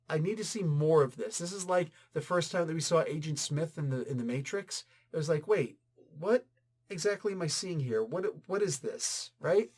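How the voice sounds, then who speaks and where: Higher-voiced man, casual and emphatic. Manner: animated, emphatic, conversational with sudden exclamations and asides. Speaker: comic book reviewer and channel host. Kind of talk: casual opinionated review chat.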